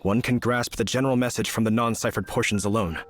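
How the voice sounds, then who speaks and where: A man's voice: fairly low pitch, steady tone, fast, a little hiss or hum, natural voice. A man playing an earnest, courteous young knight in a video game, speaking formally and politely with eager emphasis. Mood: serious.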